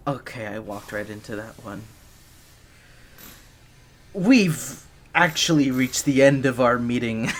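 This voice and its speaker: Casting: performer acting as nervous spy-agency gadget engineer, unhurried, stammering and flustered, very expressive pitch, for ASMR roleplay with noise behind. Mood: shy, teasing. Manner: shy, stammering, flustered, breaking off mid-phrase.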